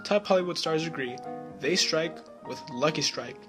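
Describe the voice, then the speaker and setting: Upbeat male radio ad announcer. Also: normal speed. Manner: upbeat salesman pitch. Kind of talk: scripted radio commercial. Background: music.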